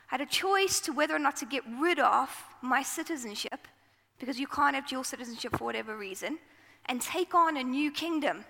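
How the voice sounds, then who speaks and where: A woman with a South African accent preaching a sermon into a microphone, telling the story in a relaxed, conversational way. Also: sits high, in a large hall.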